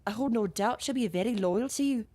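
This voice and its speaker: A woman's voice: a little high, brisk, mellow, lightly acted. A woman acting a genteel, coaxing mother. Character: genteel, persistent matchmaking mother of a grown son. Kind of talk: period audio drama acting.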